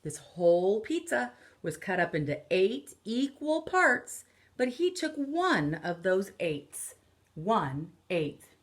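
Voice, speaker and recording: Very slow-paced woman reading to children. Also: medium pitch, big pitch swings. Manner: deliberate, explanatory, counting out and repeating key phrases. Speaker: elementary school teacher. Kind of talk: picture-book read-aloud lesson for children. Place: living or dining room at home, indoors.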